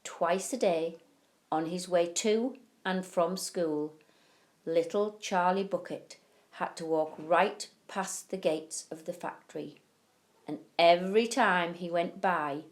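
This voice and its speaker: A woman reading a children's bedtime story expressively, with deliberate phrasing and drawn-out key words. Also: lowish voice. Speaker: primary school teacher reading to pupils.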